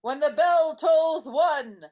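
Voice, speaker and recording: A woman playing the wailing ghost of a greedy dead moneylender in a live dramatic reading, with theatrical, drawn-out delivery. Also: high voice, a crowd in the background. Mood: wailing, ominous.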